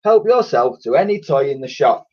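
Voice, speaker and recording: A man's voice: mid-range voice, very animated, normal speed. A man voicing a bold, cheeky young boy in a storybook reading. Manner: bold, bossy. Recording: dull lo-fi recording.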